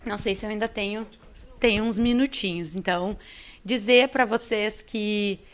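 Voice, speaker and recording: A woman's voice: medium pitch, moderate pace, noisy background. A woman engineer on a panel, closing her remarks in an earnest, rallying tone.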